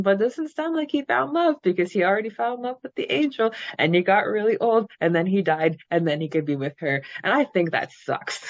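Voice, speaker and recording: A woman's voice: mid-range voice, no echo, lo-fi sound. A woman podcast co-host chatting casually and animatedly about a book, often acting out imagined dialogue. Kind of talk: casual book-discussion chat.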